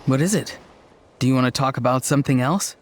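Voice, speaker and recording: A man's voice: medium pitch, fast, lightly acted. An actor playing a laid-back, friendly young man in a video game, talking in a casual, slangy way. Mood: relaxed. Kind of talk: video game character dialogue. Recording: faint noise behind the voice.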